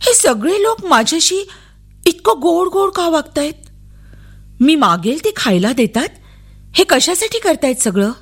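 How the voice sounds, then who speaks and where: A woman voicing a frightened, bewildered little village girl in a dramatic story, with a high, breathy voice and halting delivery. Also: very animated. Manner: hesitant, halting, wondering.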